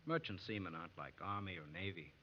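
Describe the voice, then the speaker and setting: An actor playing an easygoing escaped prisoner posing as a farmhand, casual and reassuring, in 1940s radio drama style. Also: male voice, low voice, sing-song, fast talker. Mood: easygoing, guarded. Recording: dull, faintly noisy old radio recording.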